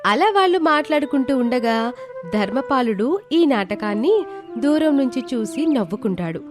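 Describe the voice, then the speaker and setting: High-pitched woman narrating a story. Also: very animated, moderate pace. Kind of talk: scripted moral-story narration. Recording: background music behind the voice. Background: music.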